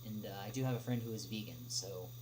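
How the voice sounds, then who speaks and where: A man chatting casually on a livestream as he answers viewer questions, speaking haltingly with long pauses. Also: lowish voice. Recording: noisy livestream audio with clear hum.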